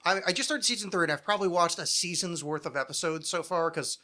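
A man's voice: a little high, quick pace, pushed and bright. A man hosting a podcast, chatting casually in an opinionated, nerdy, swearing rant. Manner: ranting, profane, nerdy. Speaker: podcast host.

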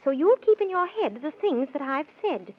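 A woman's voice: high-pitched, big pitch swings, normal speed. An actress playing a good fairy-tale queen who gives reassuring instructions in theatrical rhyme for a 1930s children's radio serial. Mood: reassuring, instructive. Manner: theatrical, sing-song rhyming instruction. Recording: dull vintage 1930s radio recording.

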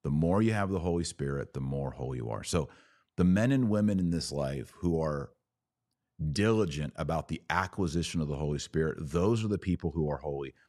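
An American man in middle age speaks in a very deep bass register. He delivers religious commentary like a podcast host, emphatic and preachy but with folksy, conversational phrasing. The sound is dry and close to the microphone. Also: lively intonation, brisk, a little mellow, no echo. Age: middle-aged.

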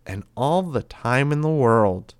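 A man voicing a cruel, calm immortal boy who talks softly and slowly with flat, cheerful menace. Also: mid-range voice, clean tone, no room sound, voice pushed up. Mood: coldly menacing. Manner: soft, slow, menacing and flatly cheerful. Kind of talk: audiobook character voice.

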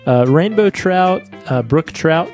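A man's voice: fairly high pitch, lively intonation, takes it slowly, a little mellow, soft background music. A man co-hosting a casual science podcast, talking in an easygoing way and chuckling often. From grew up fishing rivers. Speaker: podcast co-host. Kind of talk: casual chat.

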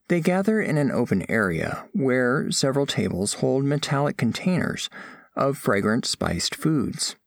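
An earnest alien scholar narrates an audio drama with great formality. The voice swings widely in pitch and carries a gravelly creak. It is recorded close and dry, and every phrase is precise and literal. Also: male voice, no room sound, full character voice. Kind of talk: scripted first-person narration.

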